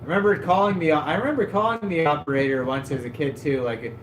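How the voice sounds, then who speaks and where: A man's voice: on the high side, fast talker. A man hosting a livestream, telling rambling stories in a loose, self-mocking way with lots of "like". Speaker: livestream host. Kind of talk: casual stream chat, storytelling. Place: at his desk at home. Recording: echoey room, faint background hum.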